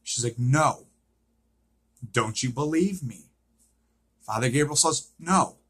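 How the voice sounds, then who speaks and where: Guy doing a casual tv recap, slow delivery, sharp husky voice, keeps repeating stuff and asking okay. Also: mid-range voice. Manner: repetitive, checks in with frequent "okay?". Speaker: vlogger recapping a TV series. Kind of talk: unscripted episode recap, casual retelling.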